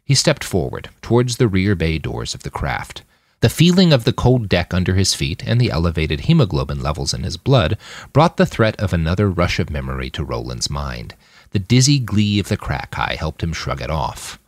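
Low male voice reading a novel, dramatic storyteller, pitch moves around a lot, really dry sound. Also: sing-song, moderate pace, no echo. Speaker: author reading his own novel on a podcast. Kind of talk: scripted fiction audiobook narration.

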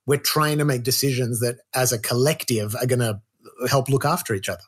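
A woman's voice: rumbling low, fairly quick, husky. A woman comedian and writer as a guest, talking thoughtfully and hedging with fillers. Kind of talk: casual conversation.

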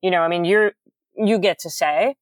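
A woman talks loudly and enunciates every word with care. She is earnest and animated, full of "you know" and "um". Her voice sits a little low, and the recording is dry and close. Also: normal speed, no room sound. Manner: loud, carefully enunciated speech; earnest, animated, many fillers. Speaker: guest. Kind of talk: candid conversational interview.

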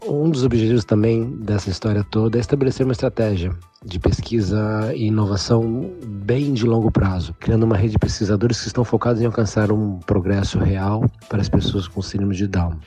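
A man with a low, mellow voice explains things in a recorded statement, restarting phrases as he goes. Faint music plays underneath. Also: fairly even intonation, a little fast, slightly rough. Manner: explanatory, with restarts and tag questions. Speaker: foundation representative.

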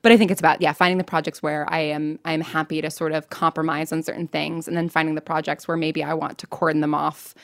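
A woman with a fairly low voice gives a casual, candid interview as a podcast creator. She talks in a conversational way and slips into quoted remarks. The sound is dry, with hardly any room in it. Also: flat delivery, fast talker, vocal fry, few pauses, dry recording.

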